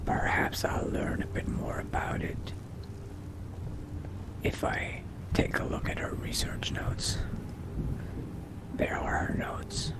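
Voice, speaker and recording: A man voicing a brooding, curious young vampire adventurer in a video game roleplay, musing to himself in a slow, hushed, gravelly whisper. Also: lightly acted. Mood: musing, wary. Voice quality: very deep, breathy, gravelly whisper. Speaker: roleplay gamer.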